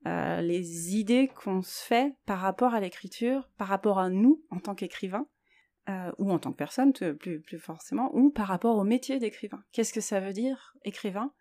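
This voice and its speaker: A woman talks by herself as a podcast host, in a mid-pitched, mellow voice. She is reflective and a little hesitant, working out her thoughts aloud as she goes. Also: normal speed. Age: 30s or older. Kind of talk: reflective solo podcast talk on writing.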